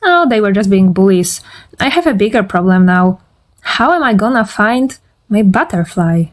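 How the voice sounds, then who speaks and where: A woman's voice: medium pitch, expressive, moderate pace, natural voice. A woman voicing an exasperated young teenage schoolgirl in a comic dub, fretful and plaintive. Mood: weary. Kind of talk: fandub acting.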